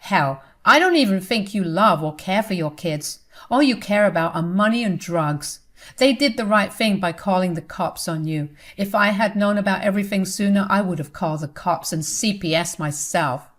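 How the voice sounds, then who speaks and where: A woman voicing an older mother who scolds her daughter loudly and sternly. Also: mid-range voice, moderate pace, close to an everyday voice. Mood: furious.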